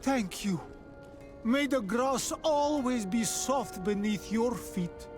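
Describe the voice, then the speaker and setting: An actress playing a grateful islander village trader in a fantasy game, warm and ceremonious. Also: female voice, a little high, expressive, faint music behind. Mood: relieved, grateful. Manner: ceremonious, deliberate.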